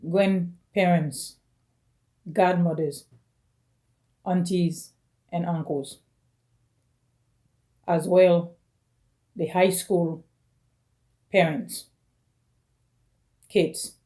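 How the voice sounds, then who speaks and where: Slow-speaking woman in her forties. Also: lowish voice, steady tone, warm tone. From Caribbean. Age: 40s. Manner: slow, halting, phrase by phrase. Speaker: vlogger. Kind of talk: personal video message.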